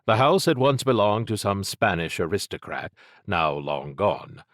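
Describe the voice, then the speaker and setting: A man's voice: deep voice, unhurried, a bit bright, no room sound, clean studio sound. A man narrating scripted fiction as an audiobook reader.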